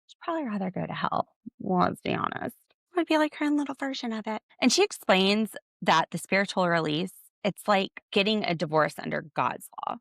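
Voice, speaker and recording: A woman's voice: gravelly, fairly bright tone, no room sound. A young woman hosting a podcast, recapping in a chatty, sarcastic way. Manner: chatty and sarcastic, acting out quotes in a high voice. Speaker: podcast host. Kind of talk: casual recap chat.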